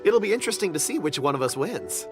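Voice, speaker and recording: An eager, candid young fellow in a video game. He talks in a chatty, cheerful, slightly hesitant way with very mobile intonation, and music plays clearly behind him. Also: on the high side, big pitch swings, fast talker. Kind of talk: video game character acting.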